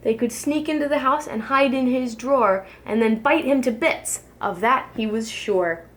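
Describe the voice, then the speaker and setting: A woman, a preschool teacher, reads a children's storybook aloud in an expressive, sing-song rhyming delivery. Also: a little high, normal speed.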